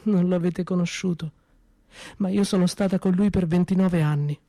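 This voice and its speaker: A man voicing a quietly broken widow in her fifties, speaking slowly and steadily in a theatrical monologue. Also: high-pitched, fairly even intonation, no room sound, studio quality. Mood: grieving.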